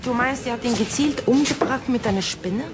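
An actress playing an earnest junior police detective in a German crime radio drama. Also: female voice, fairly high pitch, a little fast, heavy background noise, lightly acted.

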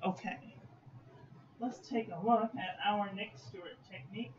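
A man chatting casually while swatching ink at his desk, phlegmy and clearing his throat, with self-mocking asides. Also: sits high, steady tone, measured pace. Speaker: ink reviewer. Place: small room at a desk. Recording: dull lo-fi audio with faint room noise.

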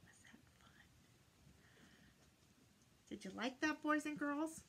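A woman's voice: high voice, slow, a bit bright. An older woman doing a children's read-aloud, teaching in a sing-song way and putting questions to her young listeners. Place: small indoor room lined with bookshelves.

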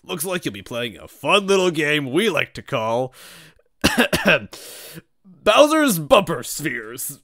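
A gleefully cruel monster boss hosting a deadly game show in a told story. The voice is gravelly and pitched high, swinging widely as he taunts like a bombastic showman, with music playing behind. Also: sing-song, a little slow, no room sound, studio quality, exaggerated voice. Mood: gloating, taunting. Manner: taunting, shouting showman, sputtering.

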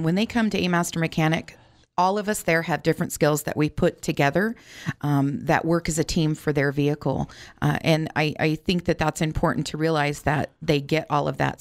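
A woman's voice: deep voice, brisk. A middle-aged woman talking in a radio interview as the co-owner of an auto repair shop.

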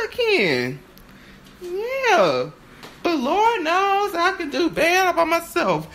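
A woman performing an improvised stage-play monologue, halting and stammering as she repeats her phrases. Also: very high voice, a bit bright.

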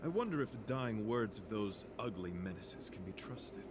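Courtly, expressive knight. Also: male voice, fairly low pitch, normal speed, background noise. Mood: earnest, solemn. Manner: formal, theatrical, solemn. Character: courtly, earnest, poetic male knight. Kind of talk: video game voice acting.

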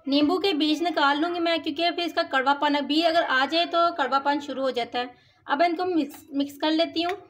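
A woman's voice: high voice, fairly even intonation, fairly quick, fairly bright tone. A woman with a Pakistani Urdu accent, hosting a cooking channel, listing recipe ingredients one by one. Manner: lists amounts one by one. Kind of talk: step-by-step recipe voice-over. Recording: dull lo-fi with faint hiss.

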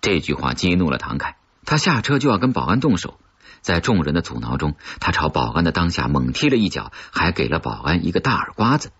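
A man's voice: a bass voice, a bit bright, husky, muffled recording. A man reading a suspense novel aloud as a scripted audiobook, with dramatic storytelling delivery. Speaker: audiobook narrator.

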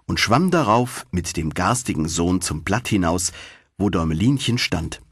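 Male storyteller for children's fairy-tale audiobook: husky, expressive, lively intonation. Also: low-pitched, no room sound, clean studio sound. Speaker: audiobook narrator.

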